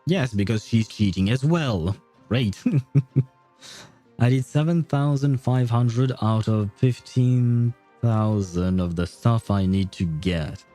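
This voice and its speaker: Man, mellow lowish voice, casual livestream host, rambling and hesitant, faint game music behind. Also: slow pace. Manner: hesitant, rambling, chuckling often. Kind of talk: casual gaming livestream chat.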